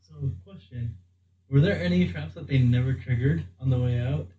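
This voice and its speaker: A man's voice: medium pitch, normal speed, dull audio. A man playing a tabletop game, chatting casually at the table. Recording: very noisy room, distant mic.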